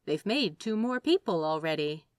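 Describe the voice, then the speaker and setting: A woman reading a story aloud as narrator, in an expressive scripted storytelling style. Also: sits low, moderate pace, dry recording, studio quality.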